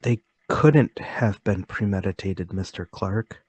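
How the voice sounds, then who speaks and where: A man plays a meek suspect in a mystery radio play. The voice is lowish and husky, and the words come slowly, with polite, hesitant bewilderment. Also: a little mellow, dry recording. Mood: quietly insistent.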